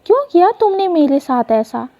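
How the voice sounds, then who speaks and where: A woman's voice: very high-pitched, big pitch swings, normal speed, warm tone, heavily acted. A woman voicing an anguished, accusing female voice that haunts a guilty conscience in a dramatic story.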